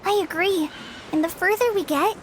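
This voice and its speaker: A woman's voice: very high voice, moderate pace, a little hiss or hum. A woman voicing a shy, earnest young ranger in a video game, speaking nervously. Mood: uneasy. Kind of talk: video game cutscene dialogue.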